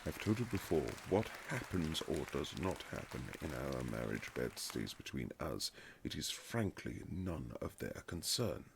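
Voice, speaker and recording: A man playing a reserved aristocratic husband in an intimate romantic period audio drama, speaking formally and haltingly with earnest tenderness in a gravelly, husky voice. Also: extremely low pitch, big pitch swings, some chatter behind, slight background noise, full character voice. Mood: protective, indignant. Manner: formal, old-fashioned, halting, earnest phrasing.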